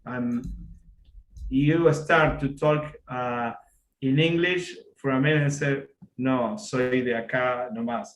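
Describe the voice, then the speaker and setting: Man, Argentine Spanish accent, halting second-language English, echoey room, faint background noise. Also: medium pitch, fairly even intonation. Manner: halting, searching for words, many fillers and restarts. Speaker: telescope equipment seller. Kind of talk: casual chat on a video call.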